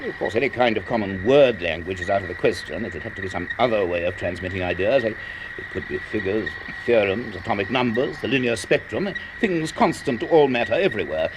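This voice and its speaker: A man's voice: lowish voice, lively intonation, brisk, background noise, close to an everyday voice. A man playing an earnest, educated scientist and narrator in a radio drama. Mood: astonished, excited.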